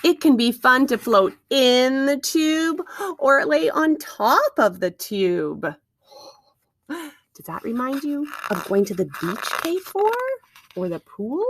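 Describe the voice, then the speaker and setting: A woman's voice: high voice, fairly bright tone. A woman reading aloud to young children like a teacher, expressively, with questions and wondering asides to her listeners.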